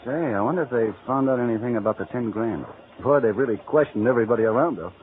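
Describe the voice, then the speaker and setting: A tinny, faintly noisy vintage radio drama recording carries a man playing a wisecracking American store clerk. He has a mid-pitched voice and talks in a casual, slangy, joshing way. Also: lively intonation, fast, lightly acted. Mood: chummy. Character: joshing, gossipy male department store coworker. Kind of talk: 1940s radio acting.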